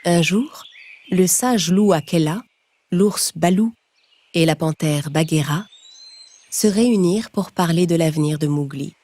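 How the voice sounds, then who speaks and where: Woman reading a kids story, soft dark tone, kinda low, measured, bit of music behind. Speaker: narrator. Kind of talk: scripted children's story narration.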